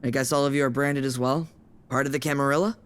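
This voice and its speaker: A man's voice: medium pitch, steady tone, quick pace, fairly bright tone, clear, resonant tone, natural voice. A man playing a cautious, newly turned vampire in an audio drama, speaking tentatively and informally. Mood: hesitant, curious.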